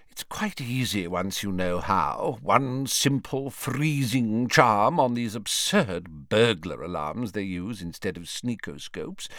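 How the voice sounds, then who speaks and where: A man's voice: mid-range voice, a bit bright, no room sound, studio quality, pitched above the performer's own voice. A man voicing a fussy, tired, blustering old wizard in an audiobook, with blunt, indignant complaining. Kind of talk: audiobook character voice.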